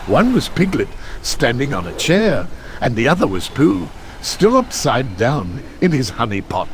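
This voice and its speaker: A man narrating a children's story from a script in an expressive storytelling manner. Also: medium pitch, a little slow, slight background noise.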